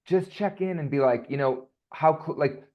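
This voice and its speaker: A male voice coach guest in a casual podcast interview, talking in an animated, rambling way with lots of fillers. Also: on the high side, moderate pace. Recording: dull lo-fi sound.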